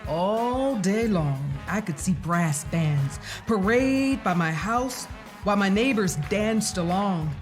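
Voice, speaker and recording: Woman reading a kids book out loud, really takes her time, expressive, bit of music behind her. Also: medium pitch, takes it slowly. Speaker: storyteller reading a children's book aloud. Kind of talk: expressive scripted narration of a first-person children's story.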